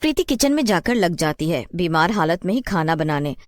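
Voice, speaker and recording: A woman's voice: lowish voice, sing-song, brisk, no echo, clean studio sound. A woman telling a scripted story as narrator.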